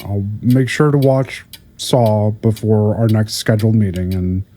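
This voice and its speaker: A man playing a patient older therapist in an audio drama, hesitant and stammering as he gently probes. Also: fairly low pitch, steady tone, a little slow, lightly acted. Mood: apologetic. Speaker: prison therapist. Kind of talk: audio drama acting, conversational.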